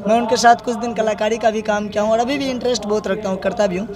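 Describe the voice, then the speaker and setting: A man's voice: high voice, steady tone, quick pace, crowd noise. A man doing an enthusiastic, effusive on-location interview for local news. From Bihar, India.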